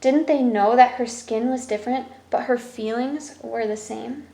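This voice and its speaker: A woman's voice: a little high, unhurried, gravelly, dark tone. Woman reading a storybook in an echoey room. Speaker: storyteller reading a picture book aloud. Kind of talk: read-aloud children's story narration with brief explanatory asides.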